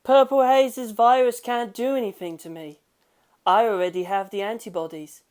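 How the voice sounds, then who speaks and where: A woman's voice: on the high side, steady tone, moderate pace, darkish tone, voice pushed up, lightly acted. A confident young gangster played by a woman narrator in an audio story. He states things assertively and plainly, with cool self-assurance.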